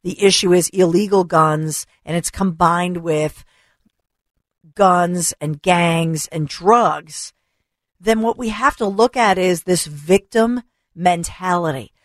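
Woman radio host on a rant, kinda low voice, dry studio sound, losing her voice a bit, keeps asking rhetorical questions. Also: slow, no room sound. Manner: emphatic, rhetorical questions, repeats for stress. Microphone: studio microphone.

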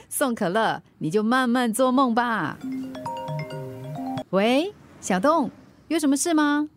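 A helpful, upbeat woman who delivers food in a radio drama. Her voice is fairly high and she chats with a Singaporean accent, dropping in English words. Music sits lightly behind her. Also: expressive, slow pace. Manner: chatty, mixes English words into Mandarin. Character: cheerful helpful food delivery rider, a mother. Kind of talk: naturalistic Mandarin radio drama acting.

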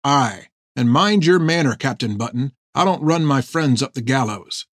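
A man voicing a gruff, earnest sailor sergeant in an audiobook, talking in folksy seafaring dialect to old mates. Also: mid-range voice, expressive, moderate pace, no room sound, studio quality, natural voice. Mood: blustering, coaxing. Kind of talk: audiobook character dialogue.

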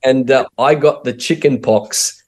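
A man's voice: medium pitch, a little slow, darkish tone. Aussie guy, pastor, lots of ums and restarts, telling his story. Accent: Australian. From Australia. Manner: hesitant, full of fillers and restarts. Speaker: missionary pastor. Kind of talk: interview storytelling.

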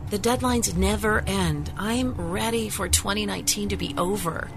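A woman's voice: mid-range voice, normal speed, background noise, close to an everyday voice. A woman playing a burned-out, sarcastic TV news reporter in a scripted radio drama. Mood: weary, chatty. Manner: sarcastic, complaining.

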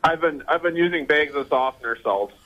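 A man's voice: a little high, fairly quick. A man calling in to a radio show, speaking in a matter-of-fact way. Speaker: caller. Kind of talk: phone-in chat. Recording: dull lo-fi phone line.

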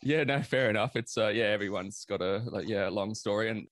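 A man's voice: lowish voice, a little fast, fairly bright tone, dry recording, clean studio sound. A man hosting a podcast, asking questions hesitantly with lots of "uh" fillers. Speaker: podcast host.

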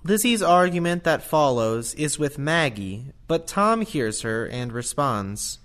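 Lively-pitched male stage-direction narrator. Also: slow pace.

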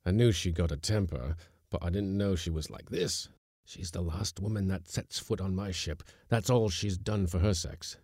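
A proud skipper from a sea story, played by a man reading aloud. He is low-pitched and gruff, grumbling indignantly in a mellow tone. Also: normal speed, no room sound, clean studio sound. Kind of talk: storybook character voice in audiobook.

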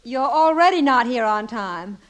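An actress playing an exasperated wife who questions pointedly in a 1950s radio comedy. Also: female voice, fairly high pitch, expressive, a little slow, fairly bright tone. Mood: pointed, impatient.

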